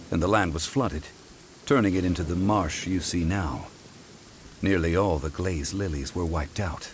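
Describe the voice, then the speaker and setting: An actor playing an ancient, composed scholar who speaks in a formal, measured, lecturing way. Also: male voice, sits low, slight background noise. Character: ancient, composed scholarly man, dignified and wise. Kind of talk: video game voice acting.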